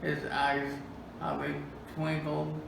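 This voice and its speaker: An older man reading verse aloud in a slow, creaky voice. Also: on the high side, completely flat delivery. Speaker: care home resident reading aloud. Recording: very echoey, distant, noisy room.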